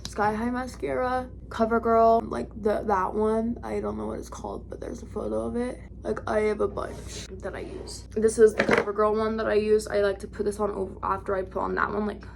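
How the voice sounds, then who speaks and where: A young woman with a raspy voice, chatting casually through a makeup tutorial, rambling and correcting herself as she goes. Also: mid-range voice, level tone, brisk, few pauses, noisy background. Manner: rambling, self-correcting, lots of "like". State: raspy today. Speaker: vlogger.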